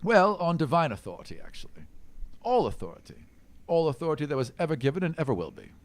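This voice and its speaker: Fantasy tabletop role: male game master as self-assured dwarf seeker, formal, calmly authoritative, animated intonation. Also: fairly high pitch, pitched above the performer's own voice. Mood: firm, imperious. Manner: measured, formal, faintly condescending.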